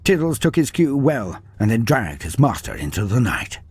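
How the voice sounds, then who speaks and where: A man's voice: mid-range voice, very animated, normal speed, breathy edge, dry recording, soft background music. A man reading a fantasy story aloud with a dramatic delivery, his voice rising and falling through the telling. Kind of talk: scripted fantasy story narration.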